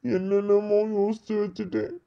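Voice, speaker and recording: A man's voice: high voice, flat delivery, normal speed, creaky, muffled recording. A man acting a heartbroken young lover in a dramatic monologue, pleading with anguish and repeating his lines. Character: heartbroken young man lamenting lost love.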